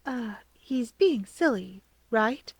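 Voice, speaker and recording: A woman voicing a shy, lovestruck young man, a soft-hearted younger brother, in a fan fiction reading. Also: on the high side, animated, takes it slowly, breathy edge, no echo, voice pushed up, heavily acted. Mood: bashful, flustered. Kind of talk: fan fiction audiobook character voice.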